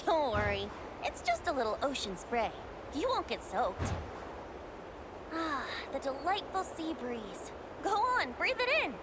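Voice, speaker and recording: A woman's voice: high voice, big pitch swings, unhurried. A woman playing a youthful male bard and wind god in a video game, with a light boyish voice and a lyrical, reassuring, theatrical way of talking. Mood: breezy, reassuring. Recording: game music behind the voice. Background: game music.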